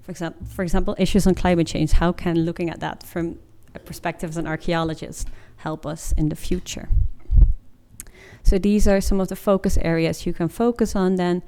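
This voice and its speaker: A woman's voice: on the low side, steady tone, normal speed, mellow. A woman in her twenties giving an informative presentation in an informal, explanatory way. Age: 20s. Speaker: master's student presenter.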